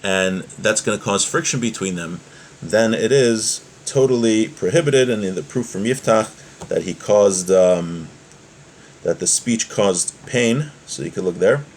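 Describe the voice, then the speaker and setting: A man's voice: fairly low pitch, a little slow, a little mellow. Man teaching religious law, noisy recording. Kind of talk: text lesson, reading aloud then translating and explaining.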